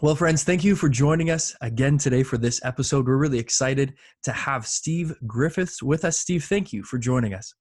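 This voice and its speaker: A man's voice: medium pitch, normal speed, few pauses, a little mellow. A man hosting a faith podcast, speaking in an upbeat, earnest way as he introduces the show and his guest. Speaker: podcast host.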